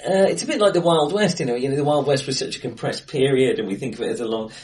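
A man co-hosting a casual film chat, stumbling through his thoughts with frequent restarts and fillers. His voice sits at an ordinary pitch and sounds distant, recorded in an acoustically bright room. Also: quick pace. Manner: hesitant, many restarts and fillers. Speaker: podcast co-host.

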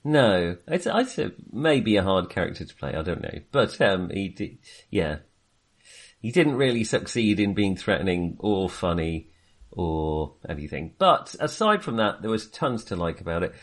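A man's voice: sits low, big pitch swings, normal speed. A man chatting casually as co-host of a film review podcast, speaking in a hesitant, self-qualifying way.

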